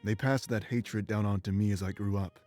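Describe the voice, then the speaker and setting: An actor playing an ancient, remorseful immortal warrior in a video game, speaking in a solemn, measured, bitter way. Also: male voice, fairly low pitch, fairly even intonation, darkish tone, faint music behind, natural voice. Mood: grave, confessional. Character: ancient immortal former rebel warrior, remorseful and weary man. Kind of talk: dramatic game voice acting, confessional monologue.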